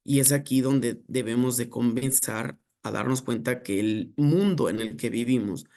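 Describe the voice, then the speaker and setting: A man giving an academic lecture, speaking in a measured, reflective way with filler pauses. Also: medium pitch, a little mellow.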